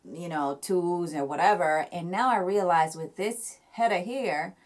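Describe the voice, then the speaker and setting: A woman's voice: fairly low pitch, a little slow. A young woman with a Jamaican accent, chatting casually to camera about her hair care, with lots of fillers and restarts. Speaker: hair vlogger.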